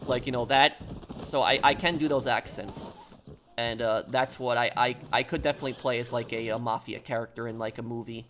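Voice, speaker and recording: A man's voice: mid-range voice, steady tone, fairly quick, fairly bright tone, a little hiss or hum. An American man from New York chatting casually on a game livestream, rambling and often restarting his phrases. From New York, United States. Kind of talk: casual livestream chat while gaming.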